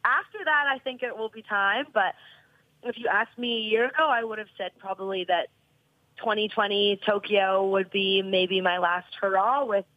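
A woman's voice: mid-range voice, moderate pace, no echo, highs cut off. A woman in her thirties talking earnestly as a podcast guest, rambling with many restarts. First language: English. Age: 30s. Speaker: professional soccer player, guest. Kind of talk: casual podcast interview.

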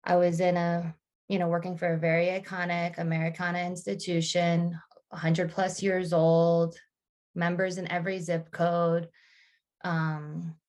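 A woman's voice: fairly low pitch, little pitch movement, unhurried, a little mellow. A woman in her thirties, a podcast guest, speaking thoughtfully in casual conversation with frequent restarts and fillers.

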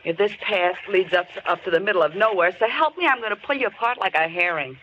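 A woman's voice: medium pitch, expressive, fast, noisy background, lightly acted. An actress playing a sarcastic, bossy city girl who cracks wise in an old radio drama. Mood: wisecracking. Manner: brassy, sarcastic wisecracks. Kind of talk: 1930s radio drama acting. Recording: muffled old radio recording.

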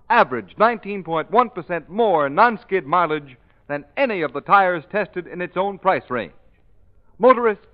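A man announcing a commercial in formal, emphatic 1940s radio style. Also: high-pitched. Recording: dull vintage radio recording.

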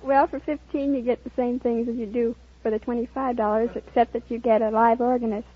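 A woman contestant answers the host in a high, slightly husky voice. She takes her time with each reply. The old radio sound is dull, with some audience behind her. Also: level tone, fairly quick. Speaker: quiz show contestant. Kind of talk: answering a host's questions.

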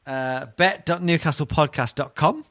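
A man's voice: a little high, normal speed, fairly bright tone, no room sound. Man hosting comic podcast, dry close sound. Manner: teasing, mock-baffled. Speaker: podcast host. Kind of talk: casual comic podcast banter.

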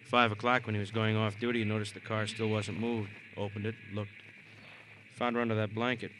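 A man's voice: lowish voice, level tone, fairly quick, close to an everyday voice. An American man playing a seasoned, matter-of-fact police detective in a vintage radio crime drama, plainspoken and clipped. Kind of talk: 1940s-50s radio crime drama acting. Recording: noisy old radio recording.

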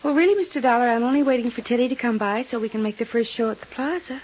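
An actress playing a scatterbrained, affected society wife, chattering airily in a 1950s radio drama. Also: female voice, fairly high pitch, fast talker, a little mellow, lightly acted. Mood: airy, dismissive. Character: flighty, scatterbrained society wife, airy and theatrical. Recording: hissy, muffled 1950s radio recording.

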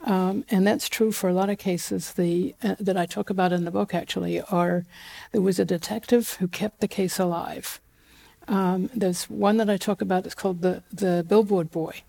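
A woman's voice: mid-range voice, normal speed, gravelly. A middle-aged woman from New Zealand with a Kiwi accent, a guest talking casually in an interview, with loose fillers and restarts. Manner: relaxed, frequent fillers and restarts, light laughs. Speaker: guest, genetic genealogist.

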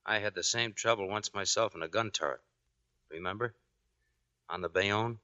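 An actor playing a bitter, defensive sailor with a clipped, stern way of talking, in 1940s radio drama style. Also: male voice, on the low side, moderate pace, pushed and bright. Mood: bitter, restrained. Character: curt, bitter, defensive sailor under suspicion. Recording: dull 1940s radio recording.

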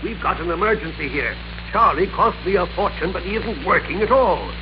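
An actor playing a flustered, blustering office boss in an old-time radio drama, sputtering and fussy in an emphatic way. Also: male voice, sits high, expressive, a little fast, music in the background. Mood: alarmed, demanding. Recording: noisy, dull old radio recording.

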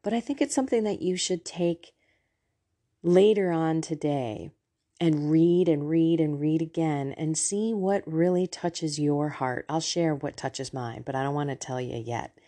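A woman's voice: sits low, measured pace, dark tone. A woman with a scratchy voice from allergies, hosting a devotional podcast from her room, chatting earnestly before reading scripture. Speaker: podcast host.

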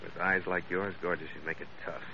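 An actor playing a hard-boiled, wry American sea captain in 1940s radio adventure style, with a clipped, tough delivery. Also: male voice, sits low, fairly even intonation, fairly quick, creaky. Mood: tough, regretful. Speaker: lead actor and narrator. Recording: noisy, muffled old radio recording.

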